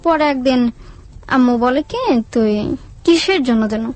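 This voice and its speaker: A woman with a Bangladeshi accent tells a personal story in a radio interview, haltingly, repeating words and quoting what people said. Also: high-pitched, expressive, a little mellow. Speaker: guest telling own life story. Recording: music under the voice. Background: background music.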